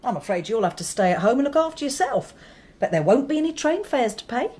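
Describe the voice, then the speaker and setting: An actress playing a brisk, shrewd, composed mother-in-law in a radio drama, with an English accent. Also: a little high, very animated, darkish tone. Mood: coolly managing. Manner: crisp, composed, managing. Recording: faint background noise.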